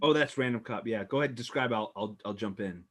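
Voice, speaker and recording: A man's voice: fairly low pitch, fast. A man hosting a casual group reading of comics, prompting and directing the others. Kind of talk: casual live group chat.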